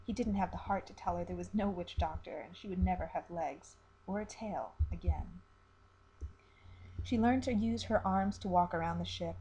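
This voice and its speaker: A woman storyteller reading a dark, dramatic tale as scripted narration. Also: lowish voice, normal speed, breathy edge, poor audio.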